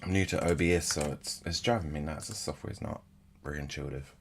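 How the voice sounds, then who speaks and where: A man's voice: rumbling low, a little fast, slight background noise. A man hosting a guitar livestream, sniffly from a stuffy nose, chatting casually and thinking aloud between bits of playing. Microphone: in-ear monitors, studio mic. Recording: livestream with loud guitar behind. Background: loud electric guitar.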